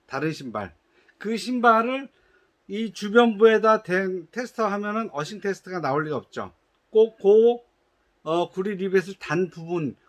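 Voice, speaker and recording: A man from a shoe company patiently explains how to test his product, with frequent hesitations and restarts. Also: high voice. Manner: gives instructions in polite formal speech.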